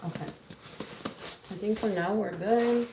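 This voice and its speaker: A woman with a raspy voice chatting casually on a live stream, greeting viewers by name, giggling often and slipping Spanish words into her talk. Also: mid-range voice, slow pace, background noise. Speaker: livestream host reading viewer comments.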